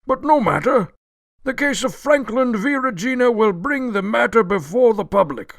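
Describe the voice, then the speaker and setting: A litigious old gentleman in a radio play. The voice is high and gravelly, swooping widely as he declaims. He is pompous, crotchety and forever indignant. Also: lively intonation, normal speed, no echo, studio quality, full character voice. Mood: suspicious, indignant. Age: older. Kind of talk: radio drama acting.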